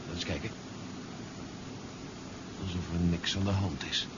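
A man's voice: low voice, fairly even intonation, unhurried. Decisive man, noisy old radio drama. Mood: calm, cautious. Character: man on a covert mission, decisive, impatient, calm leader. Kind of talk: 1970s Dutch science-fiction radio play acting. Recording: dull, noisy 1970s radio drama recording.

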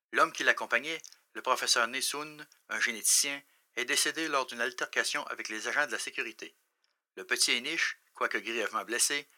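Man as bright, forceful sober newsreader. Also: mid-range voice. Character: sober newsreader on a futuristic bulletin. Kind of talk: formal news bulletin reading.

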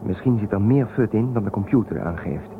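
An actor playing a stubborn, gruff man who takes command of a space crew in a radio play. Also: lowish voice, expressive, a little fast. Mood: reasoning. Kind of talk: radio drama acting. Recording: muffled phone-like sound with loud hiss.

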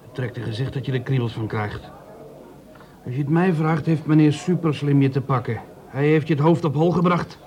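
A man's voice: fairly high pitch, fairly quick, a little mellow, lightly acted. A man acting in a radio play as a grumbling, suspicious small-time crook with a blunt, plain-spoken way of talking.

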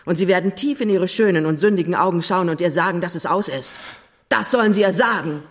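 An actress playing a cold-blooded, scheming dowager queen in a German radio play, speaking in an imperious, deliberate and menacing way. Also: lowish voice, lightly acted. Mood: commanding, menacing.

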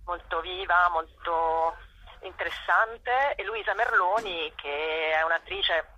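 A woman's voice: lowish voice, slow pace, sharp tone. A woman giving a spontaneous radio interview as a performer and show organiser, often searching for words. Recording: dull, phone-like radio call.

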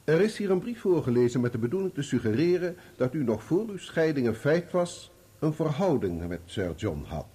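An actor in a Dutch radio play, playing a formal courtroom examiner who questions a witness deliberately. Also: male voice, on the high side, very animated, dark tone, breathy edge. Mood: probing.

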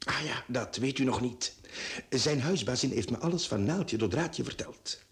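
An actor playing a wry, chatty, gossipy police subordinate in a radio play. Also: male voice, medium pitch, lively intonation, normal speed, lightly acted. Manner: teasing, gossipy, hesitant.

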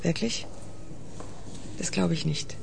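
Radio play casting: dry, blunt physician, lowish animated voice over slight background noise. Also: female voice, slow, gravelly, exaggerated voice. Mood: wry. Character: blunt, dry-witted female medical doctor. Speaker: radio drama actor.

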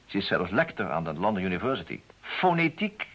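An actor playing a brisk, charming private detective in an old radio play. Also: male voice, low-pitched, sing-song, fairly bright tone, breathy edge, exaggerated voice. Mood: keen, charming. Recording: dull, muffled old radio recording.

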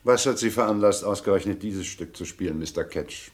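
A man acting a police inspector in a radio crime drama. He has a fairly low voice and speaks formally, with stern, controlled patience and probing insistence. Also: brisk, natural voice. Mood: dry, probing.

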